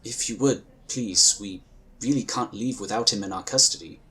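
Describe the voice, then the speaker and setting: A man voicing a calm, dutiful space agent. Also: on the low side, close to an everyday voice. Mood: calmly insistent. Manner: measured, polite, firm. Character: calm, dutiful male psionic scion agent. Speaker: character in audio drama.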